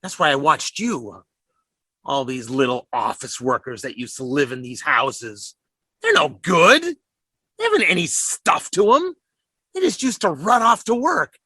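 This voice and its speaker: A ragged survivor of the militia, bright and sharp-toned, his voice swinging up and down as he rambles and stammers through his plan. in a radio play, recorded close and dry with no room sound. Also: on the high side, big pitch swings, unhurried, breathy edge, dry recording, heavily acted. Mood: scheming. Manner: rambling, stammering, scheming bravado. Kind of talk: radio drama acting.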